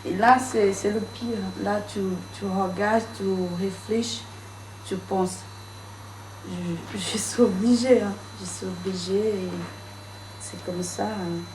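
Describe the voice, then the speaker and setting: A woman learning French, speaking haltingly about daily struggles, repeating words as she searches for phrasing. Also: medium pitch, fairly even intonation, darkish tone. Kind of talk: interview testimony. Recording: roomy room with clear background noise.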